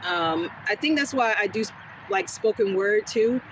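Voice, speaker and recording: A woman with a smooth, soothing voice, chatting casually with viewers during a live stream and answering their comments as she goes. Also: medium pitch, moderate pace. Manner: relaxed, rambling, talks back to chat comments. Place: outdoors on a quiet street. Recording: noisy outdoor phone recording.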